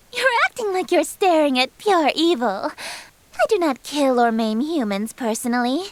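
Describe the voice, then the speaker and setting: A woman's voice: sits high, no echo. A woman voicing a haughty, menacing eldritch queen in a visual novel game, with imperious, formal and theatrical delivery. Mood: grandiose, cold. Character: haughty, menacing eldritch queen who calls herself king.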